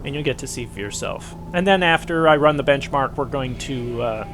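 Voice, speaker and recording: A man's voice: mid-range voice, a bit bright, soft background music. Stuffy-nosed man rambling over gameplay. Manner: rambling, frequent "uh" pauses. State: stuffy from a cold. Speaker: gaming channel host. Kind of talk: casual gameplay commentary.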